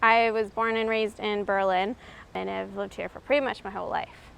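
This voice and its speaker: Gravelly-voiced woman chatting about her craft. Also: medium pitch, moderate pace, poor audio. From Maryland, USA. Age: 30s. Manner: relaxed, chatty, frequent ums while demonstrating spinning. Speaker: fiber artist. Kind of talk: casual explanation of her craft.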